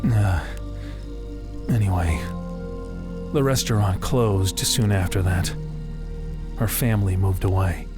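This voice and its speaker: A man's voice: sits low, slow pace, pauses often. An actor playing a guarded, self-deprecating young American working-class man in an audio drama, with a slangy, laconic way of talking. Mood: regretful, bitter. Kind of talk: naturalistic audio drama dialogue. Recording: music playing behind the voice.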